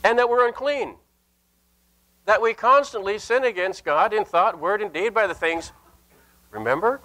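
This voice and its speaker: High-pitched older male pastor preaching. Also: pushed and bright, low-quality recording. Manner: earnest, emphatic, with deliberate pauses and repetition. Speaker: Lutheran pastor. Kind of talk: sermon with scripture and anecdote. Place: indoor church sanctuary at a lectern.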